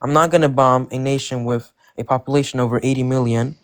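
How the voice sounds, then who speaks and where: A man's voice: mid-range voice, fairly even intonation, fast talker, a bit bright, clean tone. Blunt, defensive man from Iran. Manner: defensive, blunt, says bro a lot. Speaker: caller. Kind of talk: casual argumentative chat.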